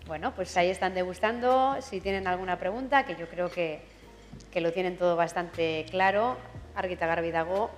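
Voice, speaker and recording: A woman's voice: on the low side, moderate pace, in a large hall, soft background music. A woman hosting a live cooking contest, upbeat and chatty, slipping in phrases. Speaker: contest presenter. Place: auditorium stage.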